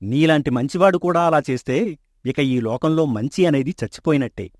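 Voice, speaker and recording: An actor playing a kindly, trusting older father in a storybook tale, speaking gently. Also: a little high, moderate pace, no room sound, studio quality, lightly acted. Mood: fatherly.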